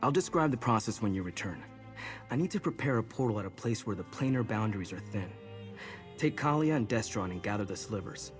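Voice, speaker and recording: Earnest, low-pitched mage played by a male actor. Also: a little fast, music in the background, natural voice. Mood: earnest, reassuring. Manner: calm, earnest, explanatory. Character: earnest, steady male mage guiding younger companions. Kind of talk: fantasy game acting.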